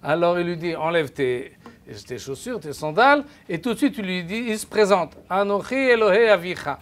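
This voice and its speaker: An older man teaching a Bible class in a lecture room with no microphone, speaking haltingly with many restarts and raising his voice to carry. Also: high-pitched, animated. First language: French. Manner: halting, many restarts and repeated words, raises his voice to be heard. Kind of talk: lecture to a live audience. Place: lecture room with projector screen. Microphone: no microphone, distant camera.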